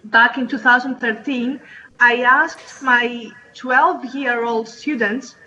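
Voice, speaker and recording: A woman's voice: fairly high pitch, fairly even intonation, slow, sharp tone, a little hiss or hum. A woman teacher speaking in a panel discussion, hesitant with many fillers and restarts. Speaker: English teacher and researcher. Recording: muffled online audio.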